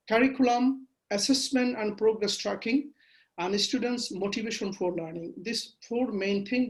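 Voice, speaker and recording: A man from Bangladesh, a Bengali speaker, giving a measured webinar lecture over a video call with frequent fillers. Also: high-pitched, rough recording. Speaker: guest lecturer.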